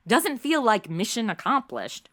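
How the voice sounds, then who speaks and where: A woman's voice: on the high side, huge pitch swings, measured pace, a bit bright, heavily acted. A woman playing a brash, ditzy, sarcastic modern young woman in a comedic audio drama, with slangy, theatrical delivery. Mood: sarcastic, flippant.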